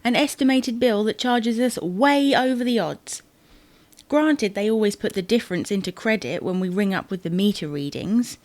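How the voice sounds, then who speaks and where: A woman's voice: medium pitch, normal speed, a little mellow, no echo, natural voice. A British woman playing an exasperated, matter-of-fact wife bickering over household matters in an audio sitcom. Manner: nagging, matter-of-fact, tag questions. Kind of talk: audio sitcom acting, domestic dialogue.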